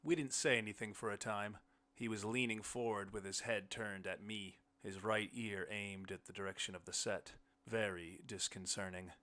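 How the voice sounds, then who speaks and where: A man's voice: on the low side, fairly even intonation, normal speed, sharp tone. A man reading a short story aloud as scripted first-person audiobook narration.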